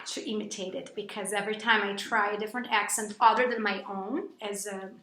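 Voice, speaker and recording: A woman's voice: a little fast, reverberant. A Brazilian woman who speaks English as a second language with a Brazilian Portuguese accent, chatting casually with many fillers and restarts. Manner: chatty, full of fillers and restarts, bursts into high excited quotes. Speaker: English teacher and vlogger. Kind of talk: casual chat to camera.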